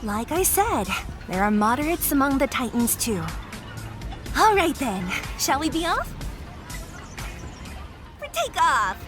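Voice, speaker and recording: A woman's voice: sits high, very animated, takes it slowly, pauses often, over music, exaggerated voice. A woman playing a perky, outgoing female monster ally in a video game, bubbly and theatrical. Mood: breezy, upbeat. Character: perky, outgoing female Digimon, a helpful moderate Titan. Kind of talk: English video game voice acting.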